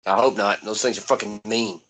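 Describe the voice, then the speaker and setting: A man's voice: lowish voice, fairly even intonation, a little fast, fairly bright tone. A man in casual podcast banter, grumbling and swearing as he disagrees. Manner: grumbling, profane, contrary. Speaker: podcast co-host.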